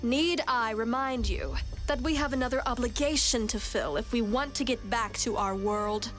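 A woman's voice: on the high side, moderate pace, soft background music, lightly acted. A woman playing a firm, businesslike adventurer in a fantasy audio drama.

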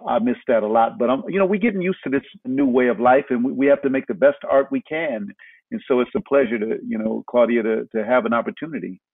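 A man talking casually and sincerely as a theatre artist in a discussion, halting and reflective. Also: medium pitch, gravelly, no echo. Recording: muffled, lo-fi remote connection.

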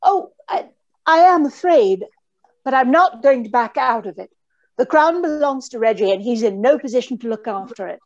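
Anxious high-voiced young lady acted by a woman. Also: lively intonation, moderate pace, highs cut off. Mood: afraid but resolute. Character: frightened, earnest young lady in a mystery. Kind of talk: old-time radio drama acting. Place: indoor room on a video call.

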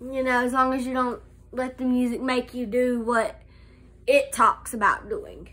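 A young woman with a lingering cold talks into her phone in a small room, her high voice sounding stuffy and nasal. She is bright and clear, but she goes slowly and haltingly, restarting sentences as she chats. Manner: slow, halting, many "um"s and restarts. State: nasal from a cold, slight cough. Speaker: vlogger. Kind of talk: casual life update vlog. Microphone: phone camera held close.

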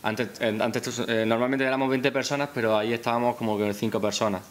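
A man's voice: mid-range voice, steady tone, fast talker. A young man with a Spanish accent from Spain, speaking unscripted at a press conference and hesitantly repeating words as he goes. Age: young adult. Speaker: film director. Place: indoor press conference room. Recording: hissy press-room recording.

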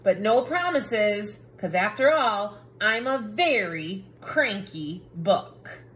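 A woman plays a cranky storybook character, a talking book that bosses and exclaims. The delivery is very animated and swoops up and down, at an unhurried pace. Faint noise sits behind the voice. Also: mid-range voice. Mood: softening. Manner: bossy, dramatic exclamations. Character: cranky, grumpy talking picture book, bossy and easily exasperated. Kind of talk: children's picture book read-aloud.

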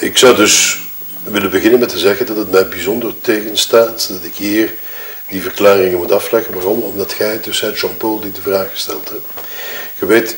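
Low-voiced Flemish husband, played by a male actor, blunt and halting, grieving and resentful, for an audio mystery game; noisy, clicky recording. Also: lightly acted. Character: resentful, grieving husband giving a reluctant murder statement. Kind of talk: acted testimony in an audio murder-mystery game.

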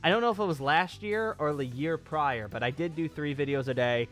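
A man's voice: a little high, a little fast, a bit bright, soft background music. Casual young man vlogging to his viewers. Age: 20s. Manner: rambling, conversational, repeats phrases for emphasis. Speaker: YouTube gaming channel host. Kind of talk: casual channel update vlog. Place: small home room with acoustic foam, at a desk. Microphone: studio mic on a boom arm, close.

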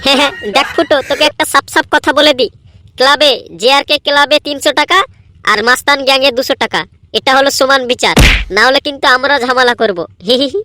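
Someone voicing a high-pitched, scrappy cartoon lad. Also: quick pace, slight background noise. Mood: wary, cheeky. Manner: argumentative, excitable. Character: scrappy, quarrelsome young gang and club lads. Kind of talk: Bangla comedy cartoon dubbing.

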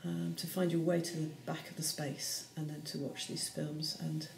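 Woman, 40s, low gravelly voice, hesitant artist talk, echoey room. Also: flat delivery, normal speed. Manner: hesitant, many ums. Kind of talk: casual explanation of her films. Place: room with bare block walls.

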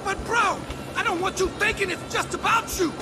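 An actor playing a cocky, hot-headed drug hustler in a video game, talking in boastful street slang. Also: male voice, very high voice, steady tone, normal speed, over music. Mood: pleading. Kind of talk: video game cutscene acting.

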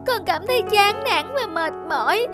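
Weary little puppet girl, cartoon, high sad plaintive voice, music behind. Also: lively intonation, normal speed. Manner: plaintive, dejected. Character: weary, sorrowful young female puppet longing to be human. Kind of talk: scripted cartoon dialogue.

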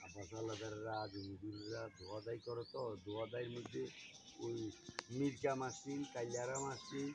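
A man's voice: mid-range voice, little pitch movement, fairly quick. A man chatting casually as he fishes outdoors. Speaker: angler in a fishing vlog. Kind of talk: casual chat. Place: outdoors by fishing water. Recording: noisy outdoor recording with birdsong. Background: birdsong, clear ambient noise.

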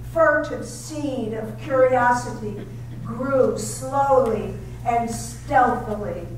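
A woman playing a timid, stammering young wife. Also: on the high side. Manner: hesitant, stammering, pleading. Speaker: amateur radio drama actor and narrator. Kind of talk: 1930s radio drama. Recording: echoey, distant, faint hiss.

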